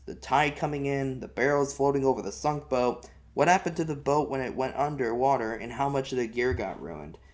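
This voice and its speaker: A man reading a first-person novel aloud as an amateur audiobook narrator, in folksy storytelling style. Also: mid-range voice.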